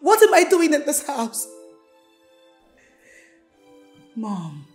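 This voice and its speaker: High-voiced, desperate Nigerian daughter. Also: female voice, big pitch swings, moderate pace, a little mellow, faint music behind. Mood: weary pleading. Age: young adult. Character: desperate, defiant young daughter married off against her will. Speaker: actress. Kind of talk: emotional film drama acting.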